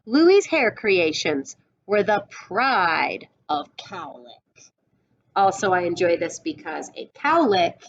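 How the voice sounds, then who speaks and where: A middle-aged woman teacher reading a storybook aloud with explanatory asides, expressive and deliberate, slightly dull webcam sound. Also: fairly high pitch. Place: indoors, classroom-like room.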